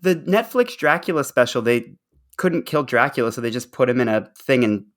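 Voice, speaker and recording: Man for casual discussion show: average pitch, eager fan energy, slightly halting phrasing. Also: expressive. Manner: enthusiastic, halting with repeated words. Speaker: podcast host.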